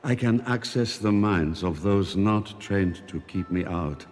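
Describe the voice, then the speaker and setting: An actor with a foreign accent playing an old, mysterious telepathic man who talks slowly and formally. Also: lowish voice, a little mellow, in a large hall, soft background music. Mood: gentle. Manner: slow, measured, formal speech.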